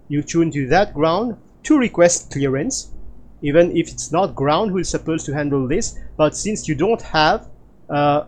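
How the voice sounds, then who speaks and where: Male tutorial instructor, slightly higher-pitched voice, very measured pace, hesitant with many filled pauses. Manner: hesitant, halting delivery with frequent filler pauses. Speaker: flight simulator instructor. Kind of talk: explanatory tutorial narration.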